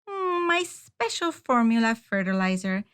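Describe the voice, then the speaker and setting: A woman's voice: a little high, big pitch swings, unhurried, a bit bright, clear, resonant tone. A woman voicing a fussy farm wife and proud gardener in a storybook, with a bossy, folksy manner. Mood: coy.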